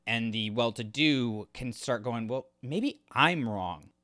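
A man's voice: mid-range voice, slow, sharp tone, no room sound, studio quality. A man co-hosting a podcast, talking through a story in a casual, analytical and explanatory way. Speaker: podcast co-host. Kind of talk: casual book discussion.

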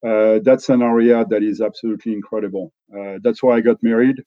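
A middle-aged man from France speaks English with a French accent, in a fairly low voice. He talks loosely, with fillers and restarts. The sound is dry and a little dull and lo-fi. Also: fairly even intonation, dry recording. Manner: halting, many restarts and fillers. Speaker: company executive guest. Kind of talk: relaxed conversational interview.

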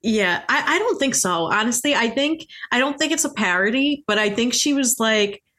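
A woman's voice: a little high, expressive, normal speed, sharp tone. A woman co-hosting a book podcast, talking casually in an amused, opinionated, conversational way. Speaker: book club podcast co-host.

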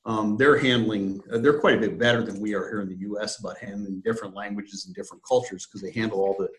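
A man's voice: on the low side, fairly even intonation, quick pace. A man, a sales director, explaining plans in an interview, hesitant with many fillers. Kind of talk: conversational business interview.

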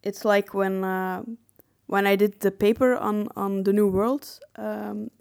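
A woman podcast co-host in a casual discussion of film. She talks analytically at a medium pitch, with hesitant pauses as she searches for the right phrase. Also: flat delivery, creaky, darkish tone.

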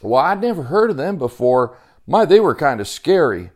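A man's voice: a little high, sing-song, normal speed, pitched above the performer's own voice. A man voicing an eager, timid young boy in a story, hesitant and stammering over his words. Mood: puzzled, nervous. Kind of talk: storytelling character voice.

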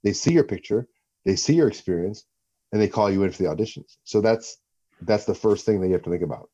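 A man guest in an interview, talking in a relaxed way, often repeating words as he gathers his thoughts. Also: fairly low pitch, fast talker, dull audio. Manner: rambling, repeats himself while thinking. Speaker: guest, actor and former fighter.

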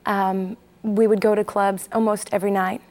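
Woman in her forties from Texas, a TV interview guest; hesitant, candid storyteller, mid pitch. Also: level tone, normal speed, a little mellow. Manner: candid, hesitant, with many fillers. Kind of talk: personal testimony in an interview.

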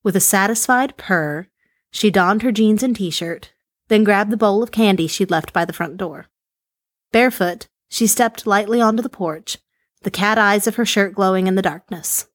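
A woman reading a short horror story aloud in steady, measured narration. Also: mid-range voice, no room sound, clean studio sound. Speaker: story reader.

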